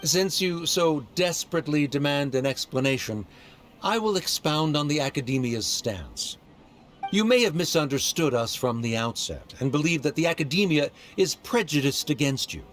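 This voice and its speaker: A man's voice: fairly high pitch, lively intonation, moderate pace, close to an everyday voice. A man voicing a haughty male scholar who lectures in a formal, dismissive way. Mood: condescending. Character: haughty male Academia scholar, condescending debater. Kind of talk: game voice acting.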